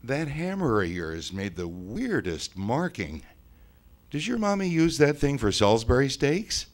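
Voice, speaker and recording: A man's voice: mid-range voice, very animated, unhurried, pitched above the performer's own voice. A man voicing the flippant, smarmy ghost of a suicide in a spoken horror story, theatrical and mock-sincere. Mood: taunting. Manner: theatrical, mock-sincere, swinging into loud exclamations.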